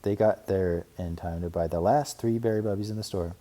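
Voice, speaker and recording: A man narrating a children's storybook aloud in an expressive read-aloud style. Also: low voice, a little fast. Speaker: storybook reader.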